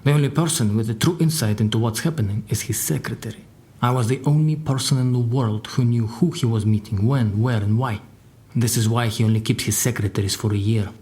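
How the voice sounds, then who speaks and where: An actor playing a crime boss's guarded secretary in an audio drama, telling his story in a dry, deliberate way. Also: medium pitch, warm tone, close to an everyday voice. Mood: dry, confiding. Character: formidable Russian crime boss's secretary, guarded male survivor. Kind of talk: audio drama dialogue and narration.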